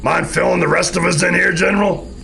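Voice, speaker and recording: An actor playing a big, brash, blunt soldier in an audio drama. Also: male voice, high voice, steady tone, brisk, gravelly, bright, forceful tone, slight background noise, full character voice. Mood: gruff.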